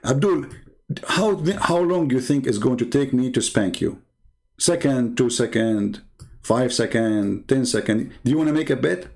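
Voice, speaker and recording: Gravelly sarcastic man, Arabic accent. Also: medium pitch, animated, moderate pace, darkish tone. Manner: sarcastic, needling, teasing. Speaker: live stream host. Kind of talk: mocking commentary while reading religious texts.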